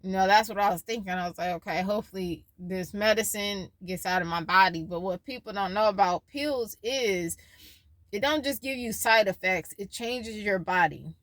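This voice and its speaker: A woman's voice: medium pitch, normal speed, fairly bright tone, dry recording. A woman in her twenties talking casually in a personal vlog, rambling and losing her train of thought as she shares her worries and her faith. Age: 20s. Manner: worried, faith-filled musing. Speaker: vlogger.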